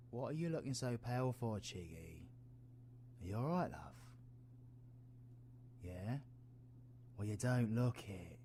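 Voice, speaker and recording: A British man playing a roguish, protective, gruff yet tender supervillain in an audio roleplay, husky and colloquial. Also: mid-range voice, warm tone, a little hiss or hum. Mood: irritated, sardonic, then concerned, subdued. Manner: sweary, teasing, colloquial. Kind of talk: audio roleplay, villain ASMR.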